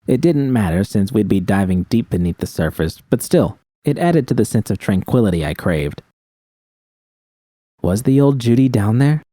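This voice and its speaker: A man's voice: on the low side, very animated, normal speed, mellow, no echo. A man reading scripted first-person fiction as a narrator.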